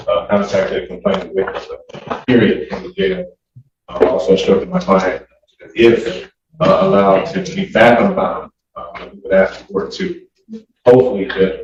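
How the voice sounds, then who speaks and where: A man speaking as a defense attorney, arguing to a judge in a hesitant, deferential way with many halting pauses. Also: medium pitch, noisy background. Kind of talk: courtroom argument to a judge. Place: courtroom. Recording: echoey, muffled, distant courtroom audio.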